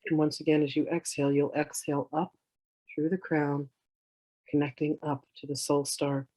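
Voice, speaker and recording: A meditation teacher guiding in a soothing, unhurried way, with gentle instructions in drawn-out phrases. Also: medium pitch, flat delivery, no room sound, low-quality recording. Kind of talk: guided meditation, slow instruction.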